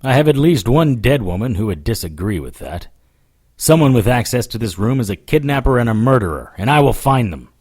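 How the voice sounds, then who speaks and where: A man's voice: medium pitch, big pitch swings, a little fast, a little mellow, slightly rough. A man playing a wry, defiant supernatural investigator who narrates his case in an audio drama, dry and firm. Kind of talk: first-person detective audio drama.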